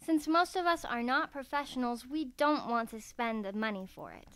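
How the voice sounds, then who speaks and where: Girl voice, kinda high, reading out a step by step tutorial, dry sound with no echo. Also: expressive, moderate pace, a bit bright, clear, resonant tone. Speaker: voice acting tutorial presenter. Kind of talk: scripted instructional narration. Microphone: USB karaoke microphone.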